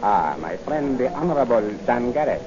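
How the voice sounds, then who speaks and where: A man's voice: mid-range voice, very animated, normal speed, natural voice. Man playing courtly, philosophical restaurant keeper. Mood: solemn, gracious. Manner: formal, flowery proverbs. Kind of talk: 1940s radio acting. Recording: dull 1940 radio recording with crowd noise.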